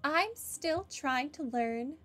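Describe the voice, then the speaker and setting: High-voiced, animated woman gamer. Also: slow, soft background music. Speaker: game streamer. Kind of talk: casual playthrough commentary, reading dialogue choices aloud.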